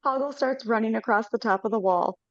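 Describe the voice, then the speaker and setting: A woman's voice: a little high, a little fast, no room sound. A woman reading the stage directions aloud in plain, matter-of-fact narration. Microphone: video call. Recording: dull dry video call audio.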